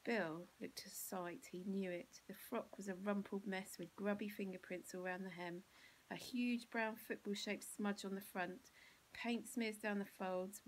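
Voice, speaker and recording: A woman's voice: mid-range voice, a little hiss or hum, poor audio. A woman with an English accent reading a children's storybook expressively, as a teacher would to pupils.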